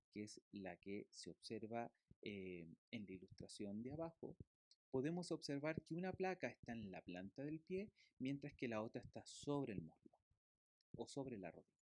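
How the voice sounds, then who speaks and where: A man's voice: medium pitch, a little mellow, no echo. A man giving a recorded physiotherapy lecture from slides, explaining step by step with fillers and tag questions.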